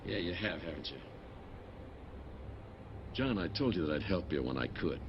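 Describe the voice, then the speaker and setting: An actor playing a stern, seasoned army colonel in dramatic, commanding action dialogue. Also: male voice, deep voice, big pitch swings, moderate pace, lo-fi sound, a little hiss or hum. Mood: earnest, measured.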